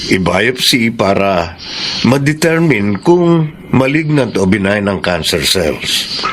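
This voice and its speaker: An actor playing a matter-of-fact doctor in a radio drama, with a Filipino accent. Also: male voice, fairly low pitch, big pitch swings, slow pace, a bit bright, slight background noise, exaggerated voice. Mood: serious, explanatory. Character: matter-of-fact male doctor explaining tests. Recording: background music under voice.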